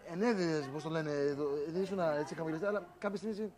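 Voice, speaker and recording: A young man, a reality show contestant, talking things over with housemates in a halting, rambling, teasing way. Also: a little high, a crowd in the background. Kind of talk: casual argument among housemates.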